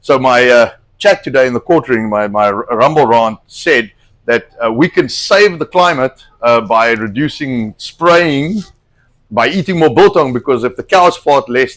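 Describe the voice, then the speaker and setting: Middle-aged male host, slightly hoarse, blunt and profane, casual cooking chat. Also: medium pitch, normal speed, a bit bright. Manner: rambling, blunt, swears a lot. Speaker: host cooking on a live stream.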